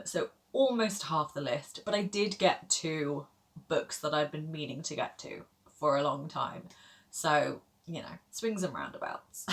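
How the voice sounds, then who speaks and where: A woman's voice: lowish voice, a bit bright. A woman, chatting casually to camera as a book vlogger, rambling with lots of fillers and little laughs. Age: 30s.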